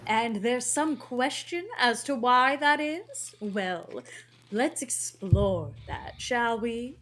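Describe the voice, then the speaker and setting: Haughty fairy godmother villainess, acted by a woman; high, slow, theatrical, mockingly sweet. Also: expressive, a bit bright. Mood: condescending. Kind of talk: comic table read of an animated film script.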